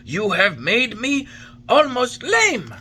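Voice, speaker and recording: A reader voicing a hot-tempered little old male woodcarver who talks in an eager, indignant way and flares up quickly. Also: huge pitch swings, husky, full character voice. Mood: outraged. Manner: shrill, indignant, quick to anger.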